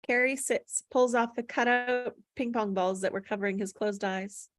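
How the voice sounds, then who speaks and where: A woman's voice: fairly high pitch, fairly even intonation, normal speed, no echo, clean studio sound. A woman reading stage directions aloud at a table read, plainly descriptive, with occasional stumbles over the words.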